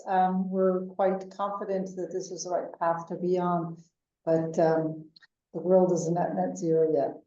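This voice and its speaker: An American woman in her fifties, a venture capital executive answering interview questions in a measured way, with many ums and uhs. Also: lowish voice, warm tone. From United States. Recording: distant, echoey, dull remote call audio.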